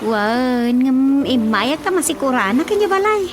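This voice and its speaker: An actress playing the grown daughter of a village family in a radio drama comedy, with a Filipino accent. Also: female voice, high voice, very animated, a little slow. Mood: conversational, concerned. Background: music.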